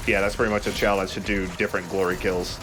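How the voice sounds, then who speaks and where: A man's voice: low voice, level tone, fast talker, noisy background. A man giving casual commentary as he plays through a video game. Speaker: let's player.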